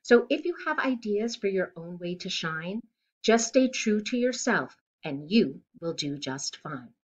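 A middle-aged woman reading a children's picture book aloud in an expressive, deliberate way, recorded indoors at home. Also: medium pitch, slightly rough, dull audio. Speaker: children's storybook reader. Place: living room at home, indoors.

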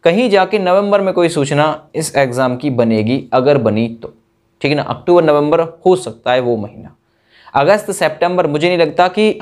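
A man's voice: on the high side, a little mellow. A young man giving an informal exam news update to camera in an emphatic, colloquial way full of rhetorical questions. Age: young adult. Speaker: exam coaching YouTuber.

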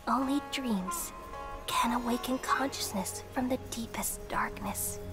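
An actress playing a serene, wise goddess in a game cutscene, speaking in a solemn, measured, poetic way. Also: female voice, fairly high pitch, over music, close to an everyday voice. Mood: solemn, dreamy. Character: serene, wise goddess bidding the world farewell. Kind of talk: dramatic game cutscene monologue.

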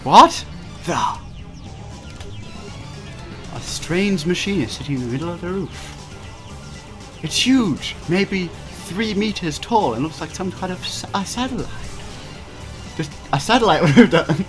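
A man's voice: high voice, very animated, unhurried, long pauses. A young man playing a video game, reading its text aloud with stumbles and joking asides. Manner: stumbling read-aloud delivery, often breaking into laughter. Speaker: let's player reading a visual novel aloud. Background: video game music.

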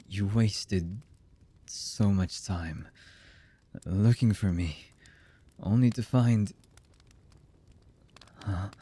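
An actor playing a brooding, distrustful young anime villain in a boyfriend ASMR roleplay, with a husky, breathy voice and halting, hushed delivery. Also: male voice, deep voice, full character voice. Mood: cold, suspicious. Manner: slow, halting, hushed delivery with long pauses.

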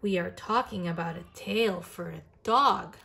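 A woman's voice: on the low side, a little slow, creaky, bright, forceful tone, slightly rough, heavily acted. A woman voicing a sensible, doubting young boy, an older brother in a picture book, reasoning with emphasis. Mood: reasonable, doubting.

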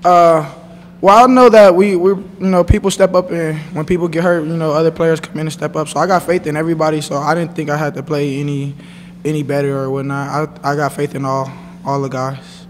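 A young man, a college football player, answering reporters after a game in a relaxed, plain way with many fillers. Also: on the high side, a little fast, big-room sound, slight background noise. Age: young adult. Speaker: college football defensive back. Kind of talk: postgame press conference answers. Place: press conference room, indoors. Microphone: table microphone.

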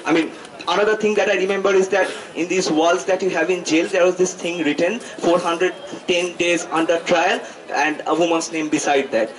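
A man in his twenties with an Indian accent gives a political speech as a student activist, halting and reflective, rephrasing in academic wording. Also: sits high, steady tone, a crowd in the background. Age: 20s. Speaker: student activist giving a public address. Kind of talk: political speech, partly improvised. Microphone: handheld microphone held close to the mouth. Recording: distant mic, noisy live event sound.

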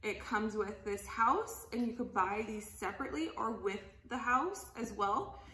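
Bright, chatty woman demoing cleaning cloths. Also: fairly high pitch, unhurried, reverberant. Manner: chatty, enthusiastic, laughs often. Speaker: cleaning products seller. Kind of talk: casual product demo. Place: living room, indoors, at a table.

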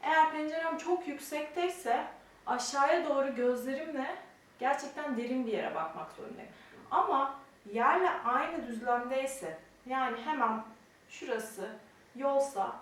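A woman's voice: high voice, expressive, moderate pace. A young adult woman teaching a mime technique step by step, explaining and demonstrating, at times counting out the movements. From Turkey. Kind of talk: step-by-step instruction. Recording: very echoey room, distant mic, faint noise.

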